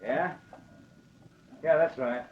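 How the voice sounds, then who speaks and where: An actor playing a tough, plain-spoken crook, a gang underling, in 1940s radio style on a muffled old recording. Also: male voice, fairly high pitch, slow, a little hiss or hum. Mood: businesslike. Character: short husky red-haired crook, talkative underling.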